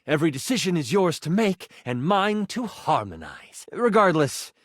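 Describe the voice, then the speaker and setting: A man's voice: on the high side, very animated, moderate pace, fairly bright tone, dry recording, studio quality. A man voicing an eager, nerdy living twenty-sided die in a video game, a theatrical game chronicler with geeky, mock-archaic flourishes. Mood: eager explaining. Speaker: game master character in a video game. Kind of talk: animated video game voice acting.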